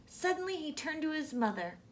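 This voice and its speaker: A woman hosting storytime, narrating a children's book with deliberate phrasing for young listeners. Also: high-pitched, big pitch swings. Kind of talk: children's storybook read-aloud.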